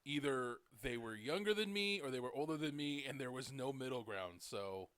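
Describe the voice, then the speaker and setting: A man's voice: mid-range voice, expressive, normal speed, a bit bright, dry recording. Casual rambling podcast host, a man. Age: late 30s. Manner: rambling storyteller, lots of "like" and "dude".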